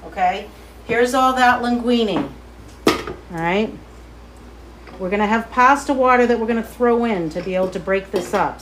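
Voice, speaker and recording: A woman with a light Boston accent hosting a live cooking demo from her kitchen, chatty and excitable as she talks to viewers. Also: mid-range voice, background noise. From Boston area. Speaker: cooking show host. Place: home kitchen, indoors.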